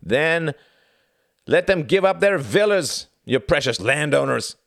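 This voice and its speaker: A man's voice: medium pitch, very animated, normal speed, a bit bright, smooth, no room sound, clean studio sound, pitched above the performer's own voice. Man doing drawling fascist hecklers. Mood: sneering. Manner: lazy, drawling contempt. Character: sneering male fascist hecklers.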